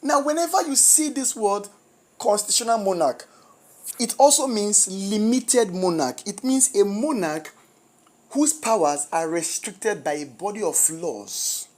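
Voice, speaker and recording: Nigerian exam tutor, husky and sore-throated, reads out the options and explains them one by one. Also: medium pitch, animated, a little slow. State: has a flu with a sore throat. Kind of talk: walking through exam questions.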